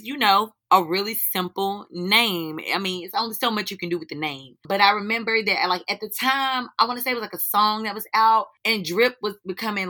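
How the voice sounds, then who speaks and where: Raspy young woman with a North Carolina accent. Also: mid-range voice, bright, forceful tone. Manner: candid, informal, slangy. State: slightly hoarse. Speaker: guest, teacher and business owner. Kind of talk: casual interview answers.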